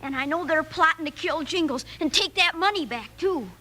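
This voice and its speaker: Woman acting a little western boy, American, very high, bright, eager, boastful, old radio recording. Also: lively intonation, moderate pace.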